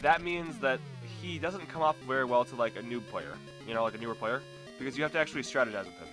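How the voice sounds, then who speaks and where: A young man co-hosting a casual video game chat, talking quickly with lots of restarts. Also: faint music behind, rough recording. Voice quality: deep bass voice. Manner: fast, animated, stumbling restarts. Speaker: co-host.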